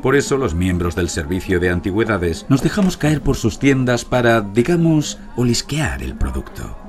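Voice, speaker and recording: Deep-voiced seasoned archaeologist. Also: male voice, sing-song, normal speed, music in the background. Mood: measured, narrating. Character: seasoned male archaeologist narrating his undercover hunt for looters. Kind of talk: Spanish audio drama narration and dialogue.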